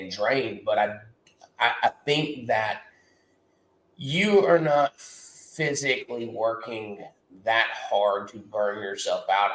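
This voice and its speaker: A man's voice: lowish voice, a bit bright, echoey room, poor audio. A man co-hosting a casual business podcast, talking in a nasal, stuffed-up voice with lots of fillers and restarts. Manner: rambling with many fillers and restarts. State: congested, nasal from a cold. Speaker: podcast co-host. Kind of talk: casual chat.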